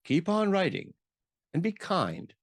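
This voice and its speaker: A man hosts an interview show in a conversational style. His pitch moves around in a lively way, and the sound is very dry and close. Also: unhurried, dry recording.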